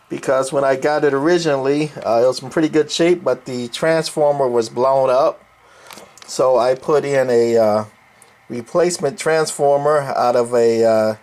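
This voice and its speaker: A man giving a casual, hesitant equipment demonstration as a hobbyist presenter, often pausing with ums. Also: medium pitch, darkish tone. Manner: hesitant, halting delivery with frequent ums and pauses. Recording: noticeable background noise.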